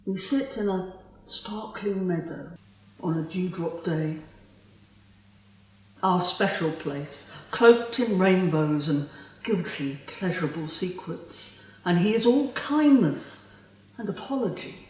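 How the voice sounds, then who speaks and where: A woman's voice: on the low side, animated. A woman playing a spurned immortal fae, possessive and vengeful, in a theatrical, lyrical stage monologue. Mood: wistful, enchanted. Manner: theatrical, lyrical, deliberate delivery. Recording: distant mic in a very echoey room.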